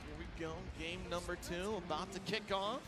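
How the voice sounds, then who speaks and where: A man's voice: sits high, brisk, over loud music. A man giving bantering commentary on a fighting game tournament as an esports caster.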